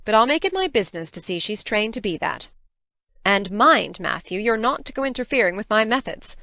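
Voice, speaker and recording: A stern middle-aged spinster of the farm , acted by a woman, speaks with brisk authority and a very animated pitch. Her manner is crisp, practical and scolding, in an audiobook drama. The sound is dry and close. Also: dry recording. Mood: grudging, firm.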